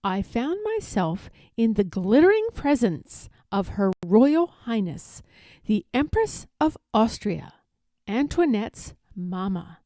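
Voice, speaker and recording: Female storyteller for children's picture book: mellow, unhurried, expressive, close dry sound. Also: a little high, no room sound. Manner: deliberate, animated storytelling. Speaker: read-aloud storyteller.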